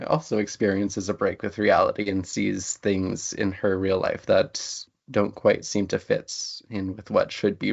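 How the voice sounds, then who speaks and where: A man with a low voice and plenty of vocal fry talks casually as an interview guest. He shares things in a conversational way, and the recording is slightly dull and lo-fi. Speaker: interview guest, writer and lecturer.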